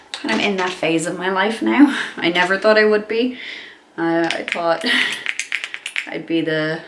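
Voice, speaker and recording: A young woman chats on while she does her makeup, her voice stuffed up and nasal from a cold. She rambles with plenty of ums and likes, in a slightly low register. The room is echoey, with a faint hum underneath. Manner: chatty and rambling, many fillers. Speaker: beauty vlogger. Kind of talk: casual chat while doing makeup.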